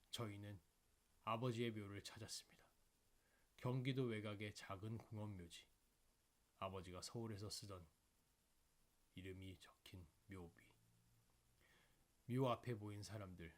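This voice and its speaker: A man narrating a sentimental scripted story in a solemn, dramatic, deliberate manner. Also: lowish voice. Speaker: audiobook narrator.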